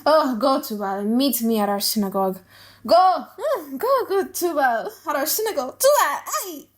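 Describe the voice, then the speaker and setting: A bitter old-world moneylender played by a woman, high and swooping in pitch. The delivery is theatrical and exclamatory, full of gasps and wails, swinging between gloating and torment in a stage-play audiobook. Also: sing-song, moderate pace. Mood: urgent, scheming. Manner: theatrical, exclamatory, gasping and wailing. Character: vengeful moneylender father, excitable, bitter, gleeful at rival's ruin.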